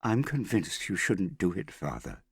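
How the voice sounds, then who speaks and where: A man voicing an earnest, husky young woman. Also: low voice, very animated, unhurried, dry recording, clean studio sound, pitched above the performer's own voice, exaggerated voice. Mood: distressed. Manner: serious, plain-spoken, insistent. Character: earnest, worried, stubborn young woman, the daughter. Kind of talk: audiobook character dialogue.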